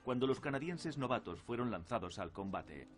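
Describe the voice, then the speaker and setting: A man's voice: medium pitch, animated, moderate pace. A man reading scripted narration for a documentary. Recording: clearly noisy documentary soundtrack. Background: clear background noise.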